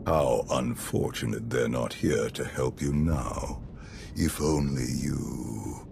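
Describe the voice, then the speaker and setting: An ancient, arrogant emperor for a video game. His voice is extremely deep and gravelly, and he mocks slowly, with menacing deliberation, over clearly audible noise. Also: exaggerated voice. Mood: mocking. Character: ancient evil male emperor spirit, arrogant and mocking. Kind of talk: dramatic video game acting.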